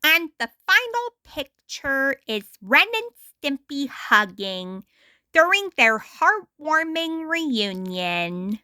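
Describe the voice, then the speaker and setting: A woman reading a story aloud as an amateur storyteller, deliberate and expressive. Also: sits high, dry recording. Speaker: amateur storyteller reading their own adaptation. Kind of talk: storybook read-aloud narration.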